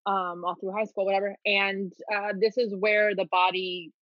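A woman's voice: medium pitch, little pitch movement, moderate pace, no room sound. Candid woman guest, slightly dull audio. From Dominican Republic, raised in Miami. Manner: easygoing, candid storyteller. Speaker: podcast guest, clothing company owner. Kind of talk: casual interview storytelling.

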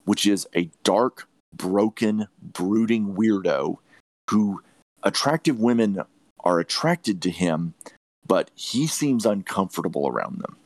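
A low-pitched man speaks as a podcast host in a close, dry recording. He shares opinionated takes on films, leaning on repetition and emphasis to drive his points home. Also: expressive, takes it slowly, no echo. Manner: emphatic, repeats phrases for stress. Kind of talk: opinionated movie review chat.

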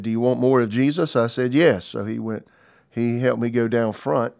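Gravelly man in his sixties preaching. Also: mid-range voice. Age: 60s. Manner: earnest, conversational teaching with scripture quotes. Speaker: pastor. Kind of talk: podcast sermon teaching.

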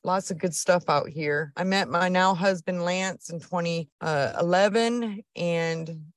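Lower-voiced woman telling her story. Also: a little slow, dry recording, studio quality. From northern Michigan. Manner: storytelling, relaxed and chatty. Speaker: guest, outdoor adventure business owner. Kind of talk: casual interview.

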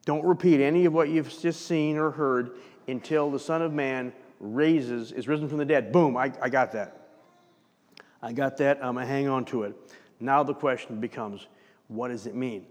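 Animated pastor preaching in a reverberant hall. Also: male voice, mid-range voice, normal speed. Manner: animated preaching with rhetorical questions. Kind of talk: sermon. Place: church sanctuary.

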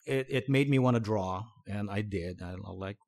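Middle-aged man, halting storyteller. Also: fairly low pitch, creaky. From Philippines. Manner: relaxed, halting, with frequent fillers and restarts. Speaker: guest. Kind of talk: conversational storytelling.

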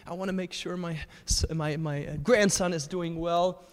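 A man in his thirties, from Germany, speaks as a guest at a church and tells his own story earnestly, stopping for fillers and restarting often. His voice sits a little high for a man. The sound carries a long reverb from a large hall. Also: steady tone, moderate pace. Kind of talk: personal testimony in a church talk.

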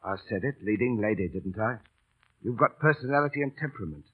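An actor playing a cheeky, big-hearted London Cockney street entertainer, kindly but bossy, coaxing with repetitive patter in old radio drama style. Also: male voice, lowish voice, lively intonation, quick pace, slightly rough. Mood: warm, encouraging. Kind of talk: 1930s-40s radio drama acting. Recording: scratchy, noisy, tinny old radio broadcast.

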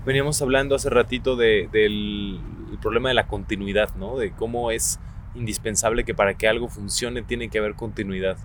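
A man's voice: on the low side, fairly even intonation, fairly quick, a little hiss or hum. A man interviewing a guest, musing aloud in a reflective way and checking in with short tag questions. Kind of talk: casual conversation.

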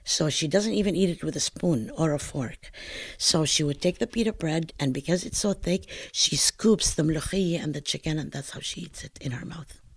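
A woman's voice: deep voice, normal speed, slightly rough, dry recording. An older woman from Palestine with a northern Palestinian accent, chatting in an oral history interview as a home cook, telling stories in a digressive, talkative way.

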